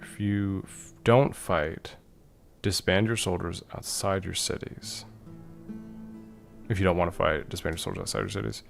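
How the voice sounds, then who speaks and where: A man casually talking through a strategy game as he plays, thinking aloud and answering viewer questions in a relaxed way. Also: deep voice, moderate pace, creaky, soft background music. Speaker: streamer playing a strategy game. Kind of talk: casual gameplay commentary reading chat.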